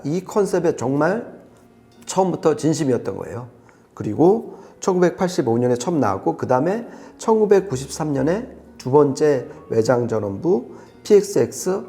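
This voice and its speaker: A man's voice: on the high side, dark tone. A man explaining products conversationally as an audio reviewer, with an emphatic manner.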